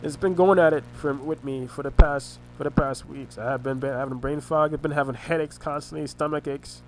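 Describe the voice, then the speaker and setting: A man recounting family arguments in a rambling monologue, halting and often restarting his words, his voice congested and his throat sore. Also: on the high side, fairly even intonation, a little hiss or hum, poor audio. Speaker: vlogger recounting family conflicts. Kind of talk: rambling personal monologue over a screen recording.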